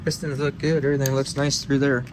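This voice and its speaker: A man's voice: mid-range voice, little pitch movement, quick pace, noisy background. A man hosting an engine-building video, chatting casually to viewers and thinking aloud, often trailing off mid-sentence. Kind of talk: casual shop talk to viewers. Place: engine workshop.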